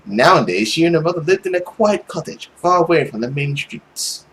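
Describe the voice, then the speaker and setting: A young adult man narrating a visual novel aloud as a let's play host, in an expressive, dramatic way. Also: a little high, slight background noise, low-quality recording. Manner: animated, dramatic reading. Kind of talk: expressive story narration with casual commentary.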